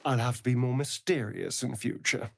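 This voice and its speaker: An actor playing a flamboyant, teasing rogue with an arch, theatrical manner in a fantasy game. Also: male voice, medium pitch, moderate pace, creaky. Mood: playful.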